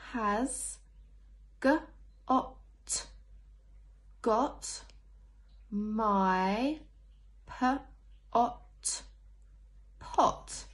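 A young woman, a primary school teacher, leading a read-along phonics lesson for small children, sounding out words letter by letter and putting questions to them. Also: a little high.

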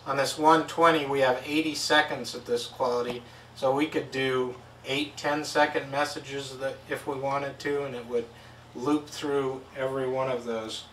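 A man's voice: mid-range voice, level tone, normal speed, a bit bright. A middle-aged man giving a casual, step-by-step instructional demo in plain language. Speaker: product demonstrator. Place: indoor tabletop demo setup with backdrop. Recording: echoey, distant mic with background noise.

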